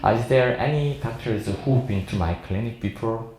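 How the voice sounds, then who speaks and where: A man in his forties with a Korean accent, a native Korean speaker, giving an informal seminar talk as a surgeon in halting, jovial English. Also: mid-range voice, darkish tone. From South Korea. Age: 40s. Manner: halting, jovial non-fluent English with frequent chuckles. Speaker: plastic surgeon lecturing at a medical seminar. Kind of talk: informal seminar presentation to an audience. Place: indoor seminar room. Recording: echoey seminar room.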